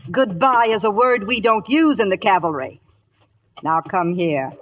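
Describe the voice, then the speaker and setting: An American actress playing a bossy, affectionate older army wife in a 1950s radio drama. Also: female voice, mid-range voice, lively intonation, unhurried, a little hiss or hum, natural voice. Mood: tender, commanding. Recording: vintage 1950s radio broadcast.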